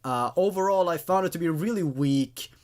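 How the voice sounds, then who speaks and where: A man hosting a horror story show, giving expressive, opinionated but apologetic commentary and often stammering and repeating words. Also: on the high side, moderate pace. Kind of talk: reading and reviewing amateur horror stories.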